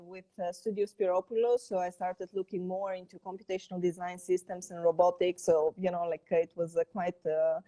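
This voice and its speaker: A woman's voice: on the low side, dark tone, rough recording. A woman from Greece and Bulgaria, talking casually about herself as an interview guest on a video call. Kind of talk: casual personal storytelling in interview.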